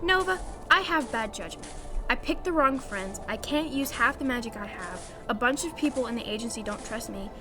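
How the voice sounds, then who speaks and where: A woman's voice: fairly high pitch, lively intonation, normal speed, music in the background, natural voice. A woman playing an earnest, self-doubting young adventuring leader in an audio drama. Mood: earnest, frustrated. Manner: earnest, unsure.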